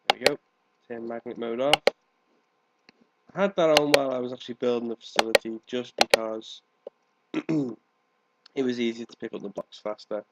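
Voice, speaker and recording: A man's voice: medium pitch, heavy background noise. Congested man casually narrating gameplay, dull audio. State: congested with a cold, coughing now and then. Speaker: gaming video host. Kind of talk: casual let's play commentary. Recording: dull, lo-fi microphone sound.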